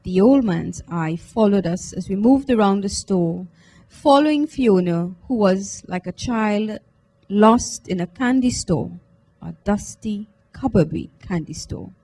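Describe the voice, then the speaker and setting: A woman giving an expressive first-person literary reading, in a measured, deliberate, dramatic manner. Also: medium pitch, rough recording. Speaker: reader at a book launch. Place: live book launch event at a library.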